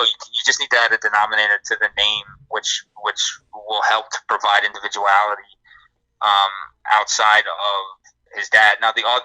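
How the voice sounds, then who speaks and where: A man's voice: on the low side, sharp tone, no room sound, low-quality recording. A man co-hosting a live credit podcast, explaining answers to listener questions at length and restarting his words often. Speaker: credit repair co-host.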